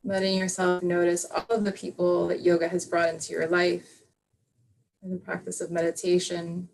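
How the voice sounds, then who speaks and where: A woman yoga teacher leading a live online class over a video call, guiding a reflection softly and unhurriedly with many fillers. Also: on the low side, monotone, moderate pace, creaky, rough recording.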